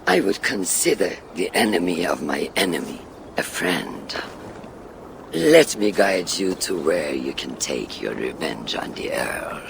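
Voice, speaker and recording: Game role: a calm, calculating male conspirator. Gravelly bass, swooping intonation, deliberate formal phrasing, background ambience. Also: a little hiss or hum, heavily acted. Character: calm, secretive male conspirator-ally, measured and shrewd. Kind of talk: video game voice acting.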